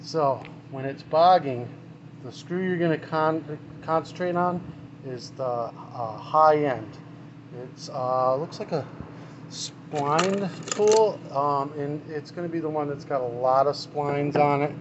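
A man's voice: a little high, slow pace, lo-fi sound. A man, a small engine mechanic, talking casually through a hands-on repair in his workshop. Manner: casual, talks while working. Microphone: camera mic, speaker off-frame. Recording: noisy workshop, engine running. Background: engine and shop noise.

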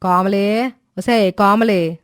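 Bossy, sharp-tongued older mother-in-law played by a woman. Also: mid-range voice, takes it slowly, smooth, no room sound, natural voice. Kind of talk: exaggerated animated moral-story dialogue.

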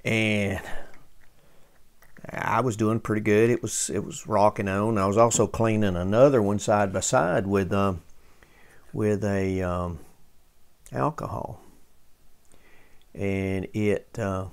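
A man with a low, gravelly voice talks through a hands-on repair job. He is folksy and casual, pausing often as he thinks aloud. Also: fairly even intonation. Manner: folksy, halting, thinking aloud while working. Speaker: host of a vintage radio restoration channel.

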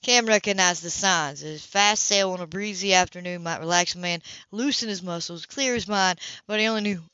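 A woman's voice: on the low side, moderate pace, bright, forceful tone, clear, resonant tone, no echo. A woman reading a novel aloud as an amateur audiobook narrator, sometimes stumbling and rereading words. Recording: dull lo-fi home recording.

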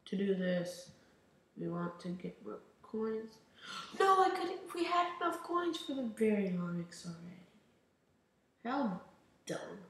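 A man's voice: sits high, very animated, slow, pauses often, fairly bright tone. A young man hosting a gaming channel, chatting casually over gameplay in a rambling way and saying he is losing his voice. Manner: rambling, chatty, talks to viewers. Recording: very echoey room.

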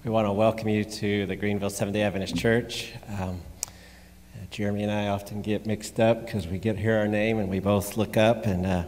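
Measured middle-aged pastor in an echoing church. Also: male voice, on the low side, level tone, darkish tone, slight background noise. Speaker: pastor giving a devotional. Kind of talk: sermon with prayer and scripture reading. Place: large church sanctuary, at the pulpit. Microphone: gooseneck pulpit microphone. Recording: echoey church hall with long reverb.

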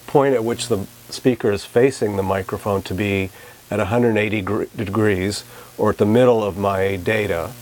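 Casting: male instructor, 40s, slightly low voice, clear explanatory demonstration style. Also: a little mellow. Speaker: physics lab instructor. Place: small anechoic chamber, indoors.

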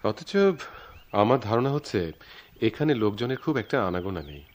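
A man's voice: fairly low pitch, expressive, brisk, natural voice. A man with a Bangladeshi accent acting a reassuring young man in love in a radio drama, patient and a little theatrical. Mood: reassuring, playful. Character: reassuring young man eloping with his sweetheart.

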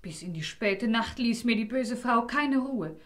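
An actress playing a gentle, sorrowful young princess in a fairy-tale audio drama, earnest and plaintive. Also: female voice, fairly high pitch, expressive, a little slow.

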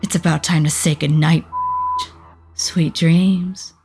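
A woman's voice: sits low, steady tone, slow, a little mellow, slightly rough, full character voice. A woman voicing a sassy, vain succubus popstar in a cartoon comic dub, with a sultry, sardonic drawl. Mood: smug, taunting. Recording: music behind the voice.

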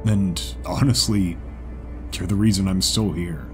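A man plays a calm male zebra companion in an audiobook. He has a very low voice and speaks formally and reassuringly, over clearly audible music. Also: measured pace. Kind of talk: audiobook character dialogue. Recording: music behind the voice.

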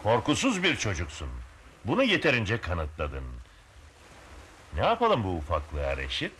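Low-voiced, kindly ship's captain. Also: male voice, wildly swooping pitch, fairly bright tone. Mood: approving. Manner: authoritative, measured. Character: calm, kindly adult male ship's captain. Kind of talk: dubbed cartoon acting. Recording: noisy, lo-fi cartoon soundtrack.

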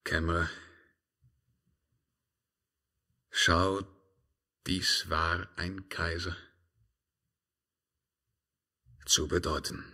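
An actor playing a brooding, commanding Byzantine emperor in a solemn, declamatory 1950s radio drama style. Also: male voice, low voice, flat delivery, slow pace, sharp tone, full character voice. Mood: stunned, grave. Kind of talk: 1950s German radio drama acting in verse.

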